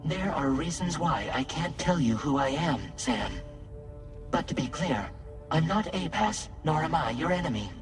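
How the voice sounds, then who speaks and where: A mysterious, faceless mannequin patron in a game. The voice sits fairly high for a man and is polite, measured and persuasive, with music clearly underneath. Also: animated. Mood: earnest, guarded. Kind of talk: video game cutscene dialogue.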